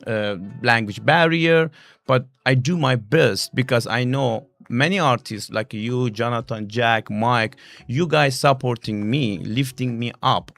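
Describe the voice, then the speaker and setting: A non-native English-speaking man, a guest on a live talk show, speaking earnestly in halting, broken English. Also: mid-range voice, gravelly, a bit bright, no echo. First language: not English. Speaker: guest, actor and model. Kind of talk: casual live show chat.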